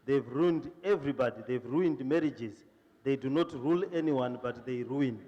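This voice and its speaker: A man's voice: medium pitch, normal speed, big-room sound, dull audio. A man on an opposition panel, mocking the ruling party in short, punchy repeated lines. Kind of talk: political debate.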